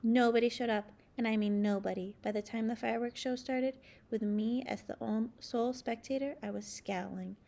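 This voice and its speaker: A woman reading a children's storybook aloud as narrator, with expressive, dramatic emphasis. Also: on the high side, moderate pace, gravelly, hardly any pauses. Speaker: storybook reader.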